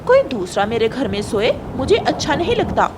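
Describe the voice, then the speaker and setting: A woman acting as a snooty cartoon crow wife with a high voice that swings sharply. She is bossy, snappish and quick to scold. Rain and thunder noise sits clearly behind her. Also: very animated. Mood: haughty, angry. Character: haughty, ill-tempered female crow, scolding wife. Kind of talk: Hindi children's cartoon story acting.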